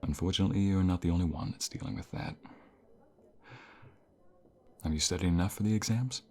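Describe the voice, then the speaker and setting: A man playing a caring university professor in an ASMR roleplay, speaking in a calm, reassuring and gentle manner. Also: low-pitched, brisk. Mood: caring, encouraging. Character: caring male university professor comforting a burnt-out student. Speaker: voice actor.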